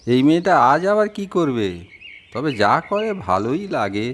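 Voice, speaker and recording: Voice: medium pitch, very animated, normal speed. An actor playing an indulgent, teasing old grandfather in a cartoon. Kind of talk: cartoon voice acting.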